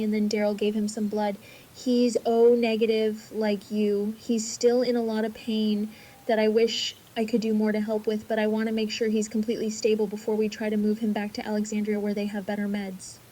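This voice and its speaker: A woman's voice: medium pitch, completely flat delivery, fairly quick, warm tone, natural voice, rough recording. A woman playing an earnest, sincere young camp medic in a fan audio drama. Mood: earnest, concerned.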